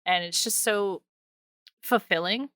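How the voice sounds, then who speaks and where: A woman with a bright, crisp voice at an average pitch chats as a podcast host. She is effusive and informal, wandering through her thoughts. The recording sounds dry. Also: slow pace, no echo. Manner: gushing, informal, rambling. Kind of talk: casual podcast chat.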